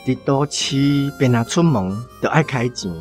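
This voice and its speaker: A man's voice: mid-range voice, a little slow, faint music behind, close to an everyday voice. A man playing a doting old village grandfather in a radio drama, fussing caringly with a Taiwanese accent. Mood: tender concern.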